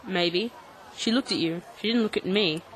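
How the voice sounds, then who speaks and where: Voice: mid-range voice, moderate pace, fairly bright tone, slight background noise, natural voice, poor audio. An actor playing a practical, encouraging teenage boy in a radio drama, giving short, matter-of-fact, prodding replies. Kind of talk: radio drama acting for English learners.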